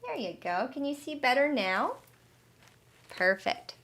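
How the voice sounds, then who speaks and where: A woman's voice: high voice, sing-song, measured pace, a bit bright. A woman leading a children's lesson and storytime, speaking expressively in simple questions and instructions, like an encouraging teacher. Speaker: children's health educator and storyteller.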